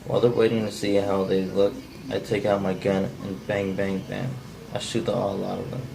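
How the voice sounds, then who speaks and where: Casting: amateur man as edgy folksy killer, monotone and gravelly, blunt, with faint noise behind. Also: deep voice, normal speed, rough recording. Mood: matter-of-fact confession. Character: edgy, cold-blooded man confessing to killing. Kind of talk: amateur cold table read of a script.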